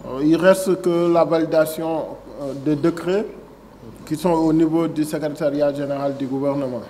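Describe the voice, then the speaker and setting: A man in his forties with a Senegalese French accent delivers a speech into a table microphone in a conference room. His voice sits a little higher than most men's. He shifts from reading prepared text to speaking off the cuff, pausing with fillers and restarting his sentences, over a faint background hiss. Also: steady tone, dark tone. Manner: reads prepared text, then digresses with many fillers and restarts. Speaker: agency director giving a speech. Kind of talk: formal speech at a signing ceremony.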